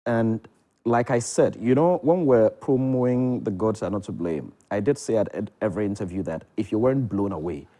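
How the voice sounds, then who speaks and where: A man's voice: on the low side, lively intonation, moderate pace, warm tone. A man with a Ghanaian accent talking as a theatre director in a promotional interview, emphatic and repeating phrases for effect. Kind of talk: lively promotional interview chat.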